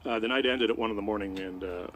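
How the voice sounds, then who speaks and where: A man co-hosting a radio show in a studio, telling a story in a jokey, animated way. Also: fairly low pitch, quick pace, a little hiss or hum. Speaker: radio co-host. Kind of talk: casual radio chat.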